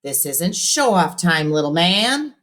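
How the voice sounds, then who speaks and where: Woman doing a calm coaching boy. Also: on the low side, slow, full character voice. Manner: steady, encouraging.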